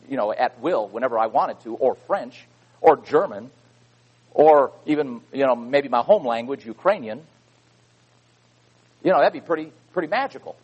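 Husky guy preaching, lively up and down voice, gets loud then goes quiet. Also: mid-range voice, muffled recording. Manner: animated, swings between loud emphatic reading and quiet asides. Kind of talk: sermon.

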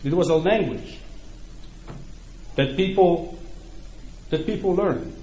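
A man's voice: on the high side, slow pace, mellow. A middle-aged man with a Polish accent, a native Ukrainian speaker, preaching a sermon in a conversational way and often checking in with his listeners. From Poland. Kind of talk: sermon to a congregation. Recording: echoey room, faint background noise.

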